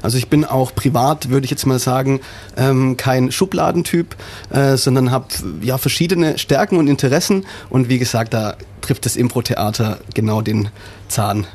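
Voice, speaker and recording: Husky, hesitant man on radio. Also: mid-range voice, fairly even intonation, normal speed, darkish tone. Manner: hesitant, many fillers. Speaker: improv actor and co-host. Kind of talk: radio interview. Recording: radio studio.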